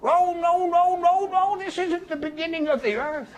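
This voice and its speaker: A storyteller voicing a calm, patient old wise man in a told folk tale. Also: very high voice, moderate pace, pitched above the performer's own voice. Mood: reassuring.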